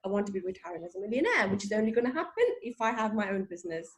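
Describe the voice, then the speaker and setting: A woman's voice: medium pitch, expressive, racing. A young woman from Sri Lanka, a guest in a casual interview, talking openly and chattily and laughing at herself.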